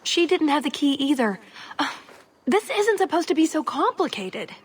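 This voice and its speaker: A woman's voice: sits high, sing-song, moderate pace. An actress playing a young elf thief in a video game, wry, sarcastic and restless in her delivery. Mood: wry, exasperated.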